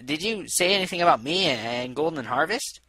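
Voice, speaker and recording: A man voicing a guarded, matter-of-fact female unicorn in a measured manner. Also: sits high, big pitch swings, creaky, pushed and bright, slightly rough, no echo, voice pushed up, exaggerated voice. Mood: concerned, firm.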